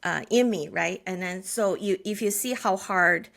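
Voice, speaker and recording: A woman's voice: mid-range voice, moderate pace, vocal fry, a bit bright. Chinese-accented woman telling her story. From China. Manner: frequent restarts and filler words, earnest storytelling. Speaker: guest. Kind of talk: conversational interview.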